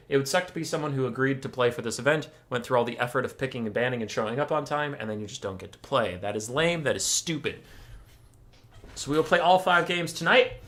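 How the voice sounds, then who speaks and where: A man's voice: medium pitch, sharp tone. A man hosting a game tournament stream, chatting casually in a rambling, wry, self-mocking way and swearing offhand. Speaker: stream host and tournament caster. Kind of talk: casual livestream chat before casting. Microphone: desk mic, camera off.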